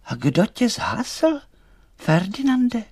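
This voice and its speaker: A man voicing a small, gentle female poppy doll, worried but kind, in a storybook reading with tender, drawn-out words. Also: extremely high pitch, big pitch swings, slightly rough, no echo, clean studio sound, pitched above the performer's own voice, heavily acted. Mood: curious, kind.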